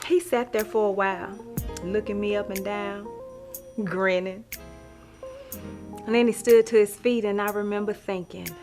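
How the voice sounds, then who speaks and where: An actress playing a wry, love-struck woman who tells her life story in an expressive, sassy, conversational stage monologue. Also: mid-range voice, normal speed, pauses often, natural voice. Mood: confiding. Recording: music playing behind the voice.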